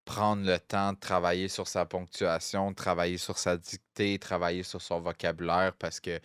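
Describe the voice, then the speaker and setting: A man's voice: deep voice, little pitch movement, normal speed, fairly bright tone, clear, resonant tone, no echo, studio quality. A young man with a Québécois French accent, co-hosting a podcast in casual, rambling talk that is full of fillers and English phrases. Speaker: podcast co-host. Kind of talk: casual chat.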